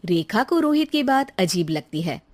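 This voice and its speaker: A woman's voice: mid-range voice, sing-song, normal speed. A woman storyteller reading scripted narration for a moral story.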